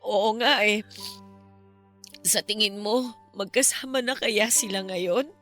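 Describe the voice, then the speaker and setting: Slow, grieving Filipino mother. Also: mid-range voice, a bit bright. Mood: sorrowful. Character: grieving bereaved mother, tearful and grateful. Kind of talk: Filipino radio drama acting. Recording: music behind the voice. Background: music.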